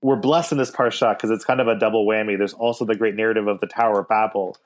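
Man, low voice, podcast co-host, thoughtful, rambling, restarts a lot. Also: steady tone, fast. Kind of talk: casual discussion of the weekly Torah portion.

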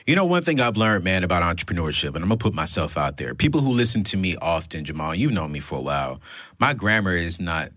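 A man's voice: sits low, quick pace, no echo, clean studio sound. A man from South Carolina, hosting a casual podcast conversation and cheering his guest on. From Darlington, South Carolina. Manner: animated, encouraging interjections. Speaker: podcast host.

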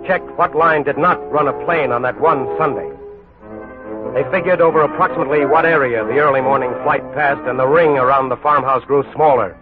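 A man's voice: medium pitch, phone-quality sound. A man narrating a 1940s radio crime drama in a dramatic, clipped manner. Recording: thin 1940s radio recording. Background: faint music.

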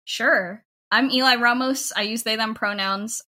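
Bright-voiced woman in casual chat. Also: fairly high pitch, fairly even intonation, measured pace. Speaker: guest, audio drama writer. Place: at home, remote interview.

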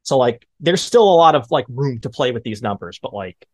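A man in his thirties hosting a sports podcast, chatting casually in an animated way. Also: medium pitch, fairly quick, no room sound. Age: 30s. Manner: animated, mimics exclaimed reactions. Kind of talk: casual sports debate chat.

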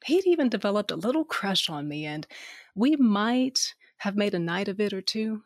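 A woman's voice: mid-range voice, animated, moderate pace, no room sound. Dry-voiced woman narrating an audio drama. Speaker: narrator and lead character.

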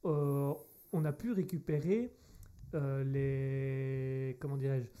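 A man's voice: mid-range voice, fairly even intonation, slow pace, dark tone. A man co-hosting a humorous radio show, telling a story and trading jokes in playful banter. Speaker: radio co-host.

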